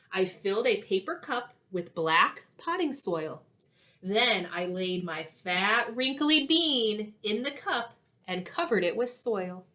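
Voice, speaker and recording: A woman in her thirties speaks as an elementary school teacher leading a read-aloud for young children. Her mid-pitched voice moves up and down in an animated way. She is clear and instructive, shaping her phrases expressively for little listeners. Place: small indoor classroom-like room.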